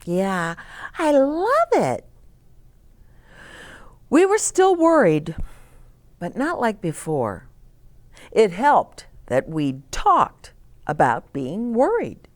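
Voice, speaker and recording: A woman hosting storytime for children, reading aloud and pausing to explain gently and ask the listeners questions. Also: medium pitch, huge pitch swings. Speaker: children's storyteller, host of a read-aloud channel. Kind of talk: read-aloud storytime with asides to young children.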